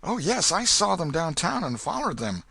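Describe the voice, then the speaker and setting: Scared boy, as a reader puts him on, high pleading voice, crisp dry sound. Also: very animated, moderate pace, pushed and bright, dry recording, full character voice. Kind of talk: audiobook character voice.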